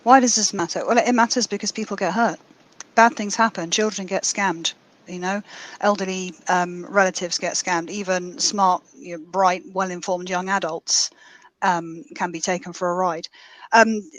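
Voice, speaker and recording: A woman on a conference panel, explaining her points briskly and with emphasis. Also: lowish voice, steady tone, low-quality recording. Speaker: panelist, data lead.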